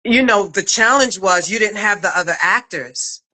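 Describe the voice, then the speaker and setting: A woman from Brooklyn hosting a casual interview as a director talking with her performer. Her voice is bright and forceful, at a middle pitch. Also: normal speed, low-quality recording. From Brooklyn, USA. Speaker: interviewer, acting coach and director.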